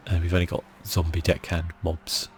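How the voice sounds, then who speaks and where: A man speaking softly and casually, thinking aloud as he gives ASMR commentary on a video game. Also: sits low, completely flat delivery, normal speed, a little hiss or hum. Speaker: gaming channel host.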